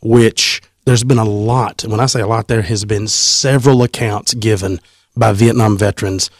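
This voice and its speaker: A man, hosting a casual podcast and reading a story aloud in an animated, teasing way. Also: lowish voice, moderate pace, dry recording, clean studio sound. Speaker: podcast host.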